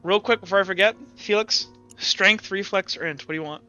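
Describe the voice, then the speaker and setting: A man's voice: high voice, fairly quick, pushed and bright, muffled recording, soft background music. A man working as game master, narrating a tabletop roleplaying game in a casual, sometimes profane way.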